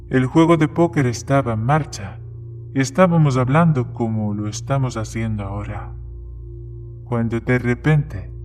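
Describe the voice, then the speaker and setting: A man plays a plain-spoken bartender in an audio horror story. His voice sits at a middling pitch as he recounts grim events in a measured storytelling way, with faint music underneath. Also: darkish tone, close to an everyday voice. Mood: grim, suspenseful. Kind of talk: dramatized short-story reading. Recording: music behind the voice.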